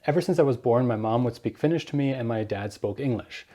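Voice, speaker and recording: A man's voice: mid-range voice, fast talker, mellow. A young man in his twenties who grew up in Germany, talking earnestly in a vlog and explaining and giving direct advice. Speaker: vlogger.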